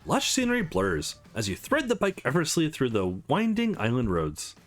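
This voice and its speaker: Man, let's play host, very animated pitch, narration with commentary, faint music in the background. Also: moderate pace. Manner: animated, acts out character lines while reading. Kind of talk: reading a visual novel aloud with commentary.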